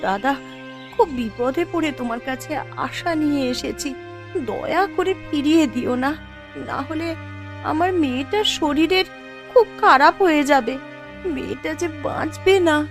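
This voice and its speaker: A desperate, impoverished mother voiced by a woman in a cartoon melodrama. Her high voice is plaintive and imploring, with soft music behind it. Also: lively intonation, moderate pace. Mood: pleading. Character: desperate poor mother pleading for her sick child. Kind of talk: Bengali cartoon voice acting.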